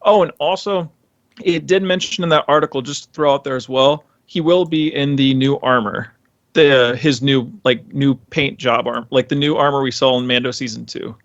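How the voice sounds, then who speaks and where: A man's voice: mid-range voice, steady tone, normal speed, no echo, dull audio. A man speaking as a podcast co-host in casual, enthusiastic, rambling fan chat.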